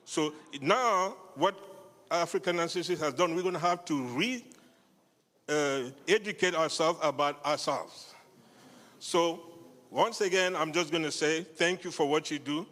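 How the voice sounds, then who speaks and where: A man from Cameroon giving an earnest, halting speech to a live audience in a theater. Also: a little high, a bit bright. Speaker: guest speaker. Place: theater stage. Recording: live hall with long reverb.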